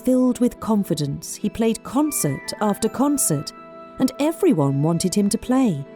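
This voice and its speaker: A woman narrating a scripted folk tale in an expressive, measured storyteller's manner. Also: mid-range voice, over music.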